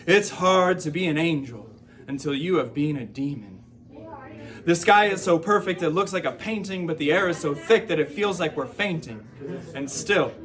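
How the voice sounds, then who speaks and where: Higher-pitched man reciting spoken-word poetry. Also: fairly bright tone. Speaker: poetry performer.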